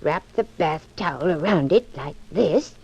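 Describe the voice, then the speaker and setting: A woman's voice: low-pitched, animated, a little slow, darkish tone, husky, heavily acted. An actress playing a polite, batty little old lady murderess in a 1940s radio drama, prim and matter-of-fact about killing. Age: older. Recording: dull lo-fi 1940s radio recording.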